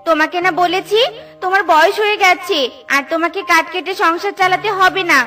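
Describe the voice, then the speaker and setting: Woman as kind young woodcutter girl, earnest, exclaiming, extremely high voice, cartoon, background music. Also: fairly even intonation, brisk, pushed and bright. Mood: caring. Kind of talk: children's cartoon voice acting.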